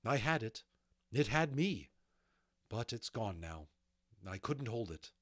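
A man's voice: medium pitch, big pitch swings, takes it slowly, a bit bright, full character voice. A man voicing an insecure, earnest magician in a storybook, easily indignant. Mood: sense of loss.

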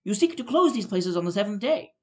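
An old, argumentative miser, as a reader puts him on, pressing hard with quick, pointed questions. He sounds probing and stubborn, like a character in a storybook read aloud. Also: mid-range voice, very animated, darkish tone, lightly acted. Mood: accusing.

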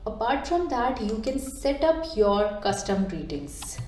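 A woman guides a software tutorial in a calm, step-by-step way. Her voice is mellow and soft-edged, and she pauses on frequent ums and uhs. The recording carries a noticeable room echo. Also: on the high side, a little hiss or hum. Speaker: digital transformation coach. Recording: echoey room.

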